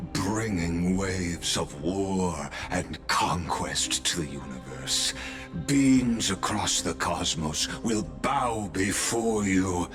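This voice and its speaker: An actor playing a scheming, silver-tongued advisor in a video game, speaking in a formal, grandiose, persuasive manner. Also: male voice, low-pitched. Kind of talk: scripted video game voice acting.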